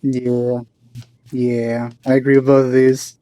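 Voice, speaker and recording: Man, let's play host, casual commentary, relaxed mellow voice, medium pitch, wry. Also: slow. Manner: wry, teasing remarks.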